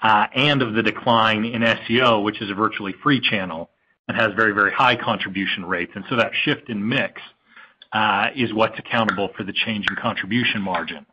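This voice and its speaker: A man speaking as a finance executive, giving an articulate, measured analytical explanation on an earnings call. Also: lowish voice, pushed and bright, husky. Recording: dull lo-fi conference call audio.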